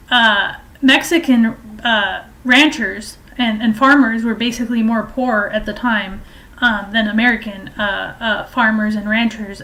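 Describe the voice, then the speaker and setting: A woman giving informal political and historical commentary in a halting, hesitant way, explaining at length. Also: fairly high pitch, pushed and bright, poor audio.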